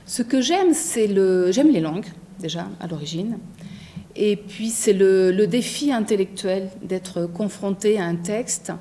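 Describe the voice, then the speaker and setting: A middle-aged woman from France, a translator, answering interview questions thoughtfully with many pauses and a habit of asking "non?". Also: medium pitch, dark tone. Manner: thoughtful, many fillers, frequent tag "non?".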